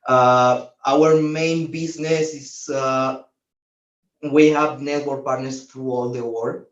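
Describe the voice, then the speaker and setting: A man's voice: mid-range voice, steady tone, slow. A young man from Chile, speaking hesitantly as an alumnus guest over a video call from an office. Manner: hesitant, searching for words. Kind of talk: casual remote testimonial. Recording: roomy, distant, dull video-call audio.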